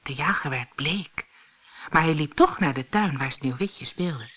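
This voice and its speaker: A woman narrating a scripted fairy tale audiobook for children in an expressive, deliberate way. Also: low voice, slight background noise. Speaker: storyteller.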